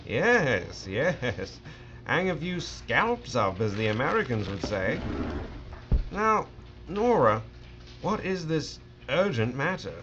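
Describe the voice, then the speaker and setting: An actor playing a jovial, pompous English lord eager for war, with hearty upper-class phrasing in a period drama. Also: male voice, medium pitch, sing-song, slow, highs cut off, noisy background. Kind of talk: period audio drama acting.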